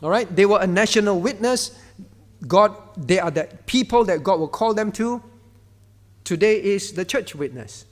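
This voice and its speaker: A man's voice: sits high, animated, normal speed. A male pastor, preaching a sermon in a conversational way and often turning to the congregation. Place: church hall. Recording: reverberant church hall.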